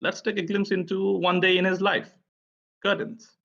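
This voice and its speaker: Brief: man hosting a webinar, fairly high voice, dull lo-fi sound, relaxed with lots of "uh". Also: normal speed, vocal fry. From India. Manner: friendly, chatty, many filler "uh"s. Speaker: presenter at an online tech session. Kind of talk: conference presentation. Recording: dull, lo-fi online audio.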